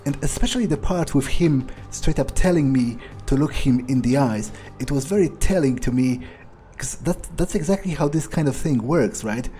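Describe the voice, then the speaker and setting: A man's voice: medium pitch, expressive, moderate pace, hardly any pauses, mellow, faint music behind, close to an everyday voice. A man voicing a cynical, suspicious vampire in an in-character game roleplay, musing hesitantly and swearing freely. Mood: brooding, suspicious. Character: cynical, suspicious, foul-mouthed young male vampire, thinking aloud.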